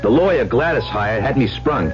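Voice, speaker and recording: A man's voice: medium pitch, lively intonation, normal speed, over music, close to an everyday voice. An actor playing a cynical, slangy, money-hungry gambler poet who tells his own story in an old-time radio drama. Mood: weary, bitter. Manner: wisecracking, slangy, hard-boiled. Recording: muffled old radio recording.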